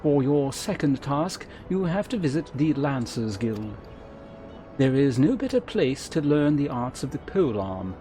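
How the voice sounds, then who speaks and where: Man voicing a courteous, formal fantasy innkeeper, measured. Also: mid-range voice, big pitch swings, mellow, faint music behind, pitched above the performer's own voice. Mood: instructive, formal. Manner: measured, formal, archaic wording. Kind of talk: read-aloud game character dialogue.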